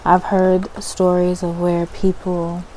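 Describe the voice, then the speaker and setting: A woman giving a soft-spoken, informal ASMR explanation in a soothing manner, often checking in with the listener. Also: lowish voice, completely flat delivery, takes it slowly, rough recording. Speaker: ASMR host and spiritual practitioner. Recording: faint background noise and ambience.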